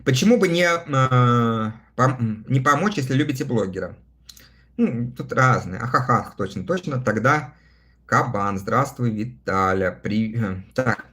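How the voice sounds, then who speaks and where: A man's voice: mid-range voice, lively intonation, measured pace, a bit bright. A man in his thirties chatting casually on a livestream, reading viewer comments aloud and answering them between laughs. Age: 30s. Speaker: live streamer reading viewer chat.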